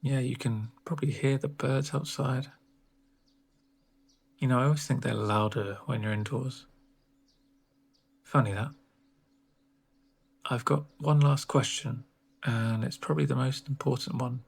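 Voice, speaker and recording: Soft-spoken, creaky-voiced man in ASMR roleplay. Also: mid-range voice. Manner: gentle, reassuring, unhurried with pauses. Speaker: ASMR performer playing a home-visit doctor.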